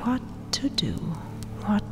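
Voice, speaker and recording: A woman voicing a vengeful, coldly dominant vampire queen in a breathy, mocking, regal whisper for an ASMR roleplay. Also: music in the background, natural voice. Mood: menacing. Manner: slow, deliberate, mocking, regal.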